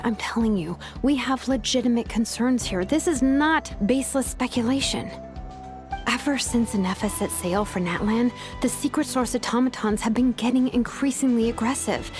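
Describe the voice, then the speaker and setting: A woman playing a cautious, authoritative young strategist in a video game, explaining things seriously and deliberately. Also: on the high side, close to an everyday voice. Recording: music under the dialogue.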